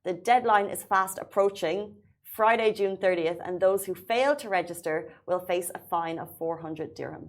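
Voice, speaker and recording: An Irish woman presents a talk show at a brisk clip. Her delivery is upbeat and conversational, like a host chatting through the day's stories. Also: lowish voice. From Ireland. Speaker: podcast and radio show host.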